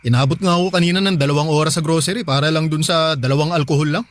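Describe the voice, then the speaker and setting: A man's voice: a little high, rapid-fire, no room sound, close to an everyday voice. A Filipino man playing an anxious, protective husband, fretting and urging in conversational radio drama dialogue. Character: anxious, protective provincial husband on a phone call. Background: faint music.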